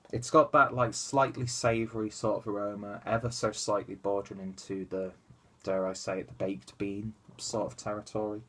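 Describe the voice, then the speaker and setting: Young man, stuffy and nasal, low husky voice, rambling casual vlogger at his desk webcam. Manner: rambling, chatty, lots of fillers and asides. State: stuffy, congested nose. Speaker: beer reviewer. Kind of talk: casual beer review vlog. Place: small room at home, indoors.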